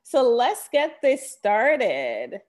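A woman's voice: a little high, wildly swooping pitch, drawn-out words. A woman hosting a podcast with an upbeat, emphatic announcer-like delivery. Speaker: podcast host. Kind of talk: upbeat scripted podcast introduction and interview. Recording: loud music behind the voice.

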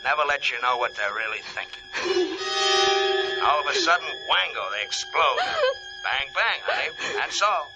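An actor playing a slangy, insinuating fast talker with theatrical menace in a 1950s radio drama. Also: male voice, a little high, huge pitch swings, over loud music, rough recording. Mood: menacing, taunting.

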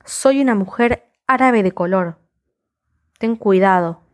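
A woman's voice: medium pitch, takes it slowly. A woman reciting spoken word poetry in a defiant, impassioned, rhythmic delivery.

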